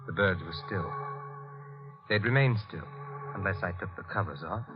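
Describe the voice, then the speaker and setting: Actor as a haunted, unhinged radio-thriller husband. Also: male voice, low-pitched, natural voice. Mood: menacing, haunted. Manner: brooding, halting, tense and commanding. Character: tormented, unhinged husband telling his own dark story. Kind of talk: old-time radio thriller acting. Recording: muffled old radio recording with music.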